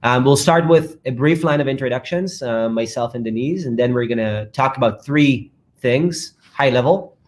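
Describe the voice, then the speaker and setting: A man moderating a live online fireside chat, upbeat and quick through long sentences on a video call. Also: medium pitch. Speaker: moderator and startup founder.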